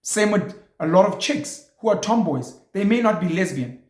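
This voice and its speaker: A man's voice: sits high, animated, moderate pace, a little mellow, roomy sound. A man hosting his own show, speaking in an opinionated monologue full of provocative rhetorical questions and emphatic points. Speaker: show host.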